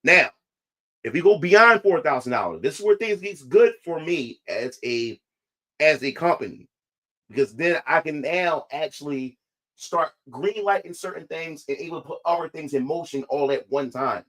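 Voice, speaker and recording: A man's voice: a little high, animated, moderate pace, bright, forceful tone, poor audio. A man talking casually to his viewers, repeating himself and restarting phrases, his voice not quite recovered. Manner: repetitive, restarts phrases often. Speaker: independent comic creator. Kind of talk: casual livestream talk to viewers.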